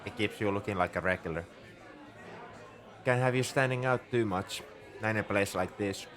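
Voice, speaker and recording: Man playing a low-voiced, shrewd space bartender. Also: normal speed, a bit bright, close to an everyday voice. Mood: low-key, cautioning. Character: shrewd, easygoing male bartender in a space outpost. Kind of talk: ASMR roleplay, scripted character monologue. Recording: crowd chatter behind the voice. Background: bar crowd murmur.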